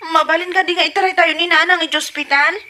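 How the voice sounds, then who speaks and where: Woman playing a high-voiced, outspoken grown daughter. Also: fairly even intonation, fast talker, sharp tone. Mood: anxious. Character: dutiful, outspoken grown daughter looking after her mother. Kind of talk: audio comedy-drama dialogue.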